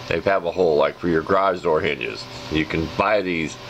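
A man's voice: low voice, normal speed, a bit bright, muffled recording, a little hiss or hum. A man casually showing and explaining odd car parts and trinkets in a rambling, plain-spoken way, recorded at a table. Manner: rambling, plain-spoken, mechanic's know-how. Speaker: channel host showing ashtray contents. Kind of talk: casual show-and-tell vlog. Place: indoors at a table.

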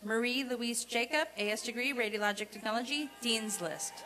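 A woman reading out a formal roll of graduates at a commencement, in a deliberate, repetitive announcing cadence. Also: on the high side, bright, forceful tone. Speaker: commencement name reader. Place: outdoor college commencement ceremony.

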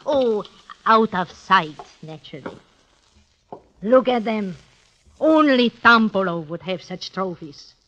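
An actress playing a cold, mocking blackmailing duchess in a 1950s radio drama, speaking in measured, formal phrases. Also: female voice, medium pitch, animated, fairly bright tone. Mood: mocking, smug. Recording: muffled 1950s radio recording.

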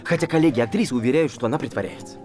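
An actor playing an eager young playwright and amateur sleuth, cheeky and self-assured in a screen drama. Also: male voice, mid-range voice, racing, darkish tone, soft background music, close to an everyday voice. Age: young adult. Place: cafe interior.

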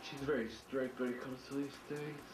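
A man's voice: mid-range voice, little pitch movement, normal speed, a crowd in the background. A teenage male student speaking anxiously and hesitantly. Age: teens. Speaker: high school student. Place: school office, recorded through a doorway. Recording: distant, echoey, noisy school office.

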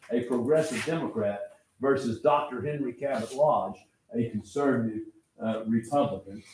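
A man's voice: mid-range voice, a little mellow. An older man with an Oklahoma accent lecturing as a history teacher, emphatic, repeating key phrases and quizzing his listeners. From Oklahoma, United States. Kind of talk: classroom lecture. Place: medium-sized classroom, indoors. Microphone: far camera at back of room. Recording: echoey classroom, distant camera mic, background noise.

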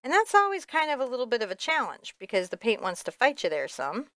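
A woman's voice: a little high, huge pitch swings, quick pace, a bit bright, dry recording. A woman teaching painting in a casual, chatty way, with playful, self-deprecating banter as she works in a studio. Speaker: painting teacher, show host. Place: indoor art studio.

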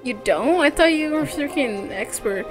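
A woman's voice: high-pitched, animated, moderate pace. A woman chatting casually as she plays a video game, slipping between English and Spanish. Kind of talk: casual chat commentary over a video game. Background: video game music.

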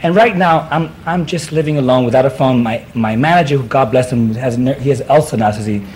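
A man's voice: medium pitch, fairly quick, darkish tone. A man in his thirties with a Puerto Rican accent, a talk show guest rambling and giggling through comic stories in hip slang on a studio set. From Puerto Rico. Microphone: stand mic. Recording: old TV broadcast audio, hiss.

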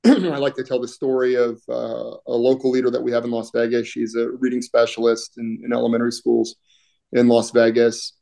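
A middle-aged man talking casually as a guest on a video-call interview, with many fillers and frequent throat-clearing. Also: medium pitch, monotone, normal speed, dark tone. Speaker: union political director, guest. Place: home room, indoors.

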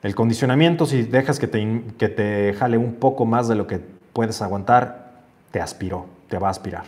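A man's voice: on the low side, moderate pace, darkish tone. A man in his forties hosting a casual stream from a home office, reading viewer comments aloud and musing on them earnestly. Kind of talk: casual livestream chat. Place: home office with shelves, indoors.

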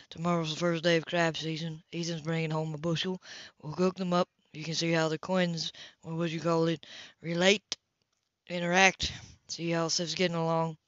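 A dull, dry lo-fi audiobook recording of a cocky man flirting , read by a woman. He is lazily confident and teasing, with a casual, lowered delivery. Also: no room sound, natural voice.

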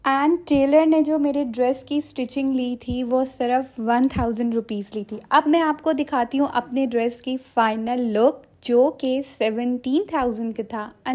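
A woman's voice: fairly high pitch, warm tone. A young woman from Pakistan narrating her shopping vlog in a chatty way, walking through the prices step by step. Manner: chatty, explains prices step by step, mixes in English phrases. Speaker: vlogger.

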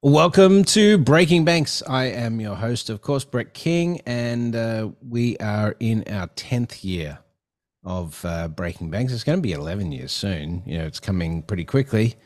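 A middle-aged man hosts a podcast from a home studio, speaking over a headset mic on a video call. His voice sits fairly low, and he talks in a loose, rambling way full of fillers and restarts. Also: lively intonation, measured pace. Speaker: podcast host. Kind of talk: casual interview chat. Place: home studio with neon sign. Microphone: headset and desk mic on video call.

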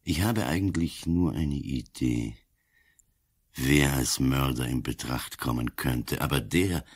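An older man playing a gruff, cunning old police commissioner in a radio drama, slow and deliberate, weighing each word. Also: heavily acted. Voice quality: husky, very deep.

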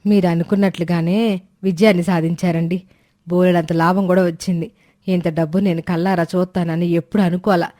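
A woman acts a devoted village wife from a storybook cartoon, low-pitched for a woman. Her talk is homely and plain, recorded dry. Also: level tone, fairly quick, dark tone, no room sound, natural voice. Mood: agreeable. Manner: homely, colloquial. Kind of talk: animated moral-story dialogue.

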